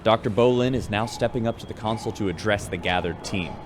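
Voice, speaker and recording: Man playing a low-voiced spaceship radio newscaster. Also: fast talker, background noise, natural voice. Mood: wry, reporting. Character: folksy male radio newscaster aboard a spaceship. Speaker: radio host and live reporter. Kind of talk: scripted radio news narration.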